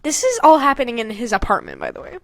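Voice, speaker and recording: A young woman with a high-pitched voice, recorded dry and close. She reads and comments like a casual podcast host, animated and giggly, mocking herself with plenty of swearing. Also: fast, vocal fry, no echo. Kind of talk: casual comedic commentary while reading own old fanfiction aloud.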